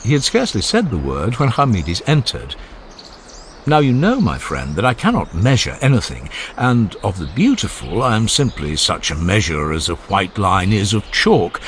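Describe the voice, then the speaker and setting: Man narrating an old classic audiobook, expressive and measured, deepish voice, bit of noise behind. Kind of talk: dramatized literary narration. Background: faint ambient background sound.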